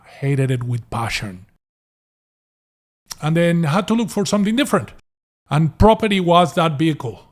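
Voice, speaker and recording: A middle-aged man from Venezuela with a mixed Latin American, American and Australian accent, telling his story as an interview guest with frequent restarts and fillers. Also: on the high side. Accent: Venezuelan Spanish, mixed with American and Australian. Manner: restarts and repeats words, many fillers. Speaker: guest, property investor.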